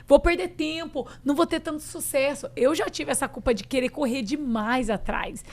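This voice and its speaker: A woman's voice: high-pitched, moderate pace. A woman hosting a casual podcast chat, chiming in with supportive agreement.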